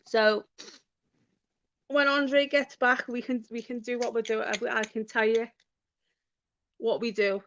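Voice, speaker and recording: A bubbly woman with a Scouse accent chats straight to her live viewers, her high, bright voice full of quick laughs. The sound is dull and lo-fi but dry and close, with no room around it. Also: fairly even intonation, dry recording. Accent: Liverpool (Scouse). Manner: chatty, bubbly, laughs often, talks to viewers. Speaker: livestream host. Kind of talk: casual live stream chat. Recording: dull lo-fi stream audio.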